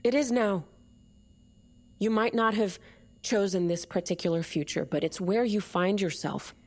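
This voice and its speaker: An actress playing a defiant, cynical leader of an underground separatist movement in an audio drama. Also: female voice, medium pitch, measured pace. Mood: scornful, hard.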